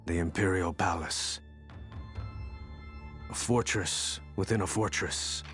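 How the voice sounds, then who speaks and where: A world-weary samurai man speaks in a low register with wry understatement. It is game cutscene drama, with music clearly behind the voice. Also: slow pace, fairly bright tone. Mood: grave, reflective. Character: world-weary male samurai warrior recounting his campaign. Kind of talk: dramatic video game narration and dialogue.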